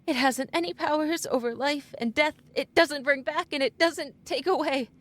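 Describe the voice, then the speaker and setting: A woman's voice: high-pitched, normal speed, fairly bright tone. A woman acting a desperate, grieving middle-aged mother in an old-time radio drama, pleading and melodramatic. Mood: shaken relief. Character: desperate grieving mother clinging to supernatural hope. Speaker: actor in an audio drama.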